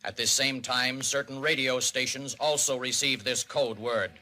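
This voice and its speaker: An American man narrating a 1950s radio civil defense documentary in a grave, emphatic, deliberate manner. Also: medium pitch, fairly even intonation, sharp tone, slight background noise. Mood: grave, urgent. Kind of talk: 1950s radio documentary narration, dramatic public-service announcing. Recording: vintage 1950s radio recording.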